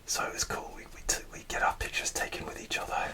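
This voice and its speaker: Man, ASMR whisper, hushed breathy delivery, hesitant and rambling. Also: quick pace. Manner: hesitant, rambling with many fillers and restarts; soft, relaxed whisper throughout. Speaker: ASMR creator.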